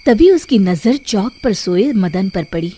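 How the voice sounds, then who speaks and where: A woman's voice: medium pitch, expressive, unhurried. A woman narrating a scripted children's moral story as a storyteller. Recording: background music under the voice. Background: music.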